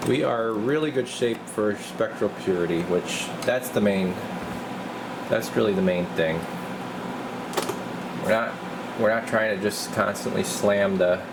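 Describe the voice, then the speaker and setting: A man giving a casual hands-on demonstration as a repair technician, talking himself through each step informally. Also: fairly low pitch. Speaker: radio repair technician presenting his bench work. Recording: noisy workshop recording with loud steady hiss.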